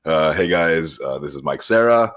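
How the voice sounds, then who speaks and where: A man with an extremely deep voice co-hosts a casual podcast. His delivery is understated and a little hesitant. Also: animated, moderate pace, a bit bright. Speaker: podcast co-host. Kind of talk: casual introduction chat.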